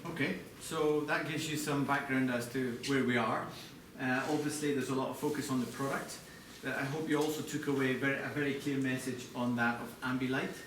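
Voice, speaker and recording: A man's voice: medium pitch, fairly even intonation, a little fast, a bit bright. Man presenting in a very echoey room. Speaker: chief designer presenting products. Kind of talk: product design presentation to a live audience. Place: large echoey indoor presentation space. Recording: echoey room, distant mic, background noise.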